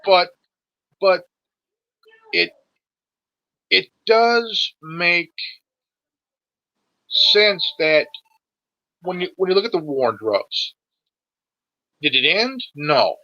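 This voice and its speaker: A man's voice: high-pitched, lively intonation, very slow, pauses often, bright, forceful tone, dry recording, dull audio. A man in his forties in a casual political discussion, sweary and emphatic, often stumbling and repeating his words. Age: 40s.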